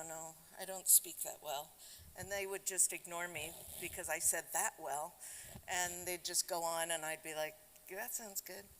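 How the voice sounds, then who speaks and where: Slow-talking older woman in a reverberant sanctuary. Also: medium pitch, fairly bright tone. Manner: conversational and reflective, addressing the congregation. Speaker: congregant giving a talk at a service. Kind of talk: personal reflection at a Shabbat service. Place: synagogue sanctuary. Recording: long hall reverb with faint background noise.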